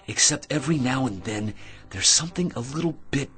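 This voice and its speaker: A man's voice: mid-range voice, lively intonation, fairly bright tone, close to an everyday voice. Earnest, shaken American astronaut played by a man. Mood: haunted, subdued. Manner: earnest, halting with repeated words. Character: shaken astronaut major, insisting on his strange experience. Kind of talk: radio drama acting. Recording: radio drama with music underneath.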